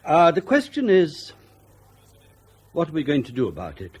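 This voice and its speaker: A man's voice: on the high side, huge pitch swings, normal speed, slightly rough, heavily acted. An actor playing a politely evasive British schoolmaster in a radio drama, formal, hesitant and roundabout in his speech. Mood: awkward, tactful.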